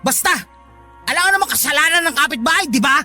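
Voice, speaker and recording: A very high, sharp Filipino voice plays a spoiled, bullying adult child in a radio drama. The delivery is rude, demanding and combative, with faint music underneath. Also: female voice, animated, fast talker, full character voice. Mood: angry, threatening. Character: insolent, lazy adult child bullying their elderly mother.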